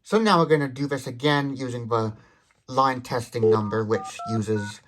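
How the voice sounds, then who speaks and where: A man's voice: mid-range voice, moderate pace, noisy background. A man hosting a step-by-step product demonstration, explaining as he goes and correcting himself while thinking aloud. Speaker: host of a phone gadget demonstration channel.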